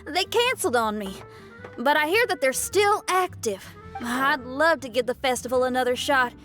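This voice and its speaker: Folksy upbeat anime girl adventurer, high voice, super animated, colloquial, music in back. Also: normal speed. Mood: disappointed. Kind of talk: anime game voice acting. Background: background music.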